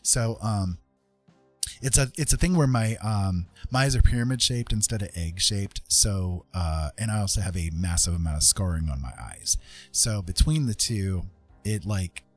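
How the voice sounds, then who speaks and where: Low, husky man chatting on stream. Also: moderate pace, soft background music. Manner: rambling, self-deprecating, folksy joking. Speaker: livestream host and digital artist. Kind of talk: casual livestream chat while drawing.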